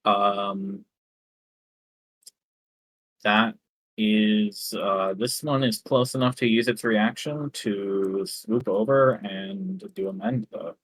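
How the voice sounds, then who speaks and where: A man running a tabletop game as game master, who gives unhurried rulings with frequent ums. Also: lowish voice, no room sound, poor audio.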